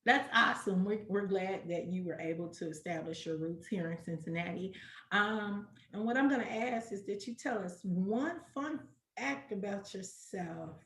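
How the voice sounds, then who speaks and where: A woman's voice: medium pitch, moderate pace, a bit bright, echoey room. A woman in her sixties hosting a casual preschool program chat, talking in a chatty, affirming way with many restarts. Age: older. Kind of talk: casual online panel chat.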